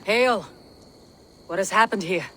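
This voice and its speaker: A woman's voice: medium pitch, measured pace, noisy background, natural voice. An actress playing a stern, resolute young Viking warrior woman in a video game, speaking tersely and with command. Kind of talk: dramatic video game acting.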